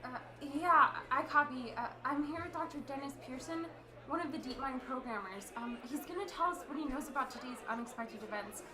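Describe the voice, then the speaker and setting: A woman playing an eager, defensive field reporter in a radio play, speaking haltingly. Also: sits high, lightly acted, poor audio. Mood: businesslike, hesitant. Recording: crowd noise around the voice.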